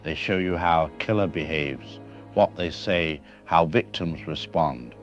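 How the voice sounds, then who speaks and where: A man playing a professor interviewed as an expert in a radio drama, speaking in a measured, analytical way. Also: extremely low pitch. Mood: calm, grave. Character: grave male professor explaining a killer's mind. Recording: dull lo-fi radio sound with faint music.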